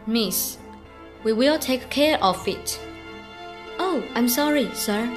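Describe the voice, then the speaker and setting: Obliging, apologetic waitress played by a young woman, high voice, radio play with music underneath. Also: animated, takes it slowly. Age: young adult. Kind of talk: student English radio drama acting. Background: background music.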